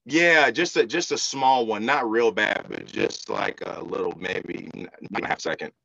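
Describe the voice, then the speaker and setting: Low, gravelly male podcast host, casual and teasing. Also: moderate pace, bright, forceful tone, no echo, muffled recording. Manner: informal, slangy, teasing. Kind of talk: casual joking interview chat.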